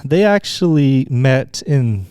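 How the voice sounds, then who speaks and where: Chill young utah dude guesting on a chat, smooth low-key voice, says um a lot. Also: male voice, lively intonation, takes it slowly, a little mellow, dry recording, clean studio sound. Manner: easygoing, hesitant with fillers, agreeable. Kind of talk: casual chat.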